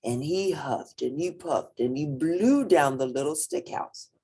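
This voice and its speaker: A woman reading a children's story aloud expressively, with drawn-out emphasis and playful asides. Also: sits low, dark tone, husky.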